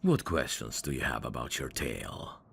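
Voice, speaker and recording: A man playing a suave, teasing demon in an intimate ASMR roleplay, courteous and flirtatious, with a gravelly voice. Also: a bass voice, big pitch swings, normal speed, fairly bright tone, slight background noise, exaggerated voice. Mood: intrigued, teasing. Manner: smooth-talking, flirtatious, formal phrasing with hesitations. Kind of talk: intimate ASMR roleplay acting addressed to the listener.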